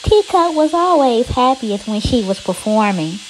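A woman reading a picture storybook aloud to young children, with theatrical, drawn-out emphasis. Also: a little high, big pitch swings, a little hiss or hum, poor audio.

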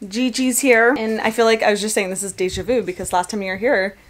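A woman in her thirties chatting casually to a handheld camera at home, bubbly and quick to laugh. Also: mid-range voice, steady tone. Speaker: vlogger, new mom. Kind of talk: casual vlog chat. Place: large bright home kitchen and bedroom. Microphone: camera held at arm's length.

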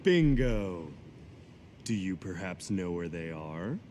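An actor playing an easygoing, heroic young adventurer in a video game, breezy, informal and expressive. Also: male voice, low-pitched, slow, background noise, exaggerated voice. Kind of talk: video game cutscene acting.

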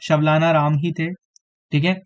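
A man teaching a live online exam-prep quiz, slightly hoarse, clearing his throat now and then and tagging his sentences with a question of agreement to his students. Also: a little high, little pitch movement, a little slow, muffled recording. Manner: repeats "theek hai" as a tag, addresses students directly.

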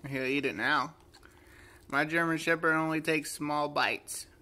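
A man's voice: fairly high pitch, steady tone, unhurried, bright, forceful tone. A man casually chatting and coaxing as a pet vlogger, repeating simple questions, filmed on a handheld device indoors at home. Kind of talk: casual chat to camera and dog. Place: indoors at home, hallway and carpeted room. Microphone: handheld phone or camera behind the lens. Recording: noisy amateur home recording.

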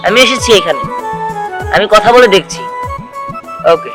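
Business magnate for web drama, male actor: high voice, pompous and self-assured, clear music behind. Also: big pitch swings, a little slow. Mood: boastful. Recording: music over the dialogue.

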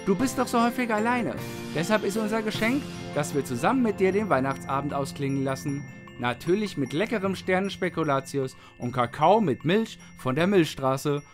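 A woman's voice: on the low side, lively intonation, normal speed, music in the background, lightly acted. A woman voicing a kind, caring little cloud in a children's audio story, friendly and earnest. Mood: kindly.

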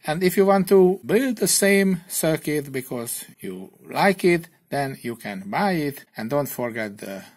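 A man's voice: on the high side, animated, darkish tone. Hungarian-accented man explaining electronics. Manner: plain, slightly halting non-native English. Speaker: electronics kit reviewer. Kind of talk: hobby electronics tutorial voice-over.

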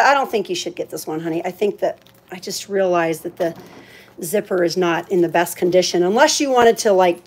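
A woman's voice: fairly low pitch, slight background noise. A woman hosting a live auction in casual, chatty talk, thinking aloud as she handles items. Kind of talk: casual livestream sales chat.

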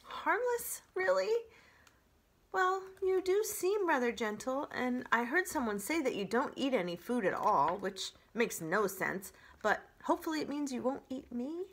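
A woman voicing a theatrical, excitable, boastful female opossum from a children's storybook, with a dramatic, gushing delivery. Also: high-pitched, unhurried, pitched above the performer's own voice, full character voice. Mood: frightened, then tentatively relieved.

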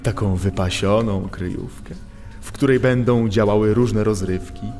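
A man's voice: fairly low pitch, a little slow, darkish tone. An actor playing a smug, mocking animal thief and gang boss in a children's audio drama, savoring his words theatrically. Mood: scheming, insistent. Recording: long cavernous reverb, music behind.

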